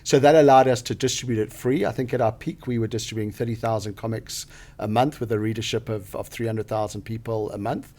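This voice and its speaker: Zambian-raised guy, low-ish voice, talks it through with lots of uhs. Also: fairly even intonation, fast talker, dark tone. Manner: thoughtful, with many "uh" fillers and restarts. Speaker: guest, venture capital partner. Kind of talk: interview answers. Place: studio.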